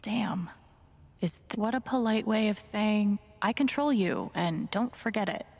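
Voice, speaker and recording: A woman's voice: medium pitch, unhurried, darkish tone. An actress playing a sardonic, indignant young woman sleuth thinking aloud in a video game, wry and muttering. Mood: sarcastic. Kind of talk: naturalistic game inner monologue. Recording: long reverb on voice.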